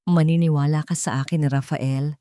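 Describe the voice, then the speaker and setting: A woman voicing a frantic, scheming wife in an audio drama, shrill, urgent and pleading. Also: steady tone, moderate pace, dark tone, dry recording, clean studio sound, lightly acted. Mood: angry, tearful.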